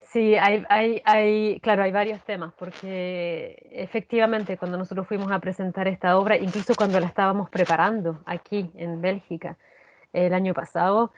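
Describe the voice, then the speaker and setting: A woman with a Chilean accent answering in an interview, reflective and earnest, often halting and repeating phrases. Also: medium pitch, steady tone, a little hiss or hum. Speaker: actress, interview guest. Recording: dull, lo-fi sound.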